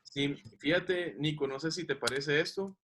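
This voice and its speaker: A man's voice: a little high, normal speed, a bit bright, slight background noise. A man teaching a class, explaining patiently and checking that the students follow. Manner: patient, checks understanding with questions.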